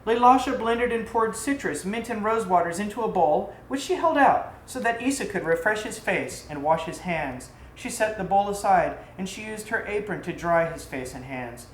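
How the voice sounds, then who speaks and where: A woman narrates an exotic story with expressive, theatrical delivery. Her voice sits at a middle pitch. The room adds clear echo, and there is faint noise behind her. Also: moderate pace, hardly any pauses. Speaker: storyteller reading aloud. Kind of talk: scripted narration of an exotic tale.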